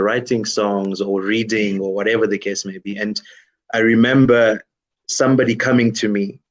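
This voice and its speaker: A man's voice: on the low side, poor audio. A man from DR Congo whose first language is French, a worship leader talking thoughtfully as an interview guest, with frequent "you know" fillers. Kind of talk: reflective interview talk.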